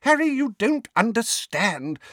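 A man acts out a fretful, fierce old lady from a storybook. The voice is very high and shrill, swooping wildly as she fusses and scolds. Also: big pitch swings, unhurried, fairly bright tone, no echo, studio quality, full character voice. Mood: agitated, fretful. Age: older. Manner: shrill, exclamatory, fussing. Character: flustered, scolding, indignant elderly woman, fretful and fierce.

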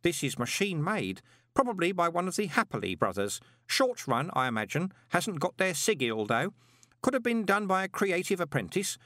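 A man's voice: high-pitched, sing-song, normal speed, pushed and bright, dry recording, studio quality, voice pushed up, heavily acted. A man voicing an odd, earnest, pin-obsessed boy in an audiobook, speaking in an eager, polite and fussily precise way. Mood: absorbed, precise. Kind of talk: audiobook character voice.

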